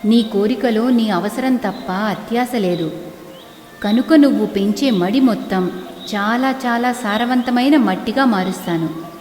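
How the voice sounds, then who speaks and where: A woman's voice: a little high, measured pace, a little mellow, roomy sound, long reverb tail, soft background music, natural voice. A woman voicing a stern but benevolent motherly forest goddess in a children's moral story, speaking formally and with command. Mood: pleased blessing. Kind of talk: animated moral story acting.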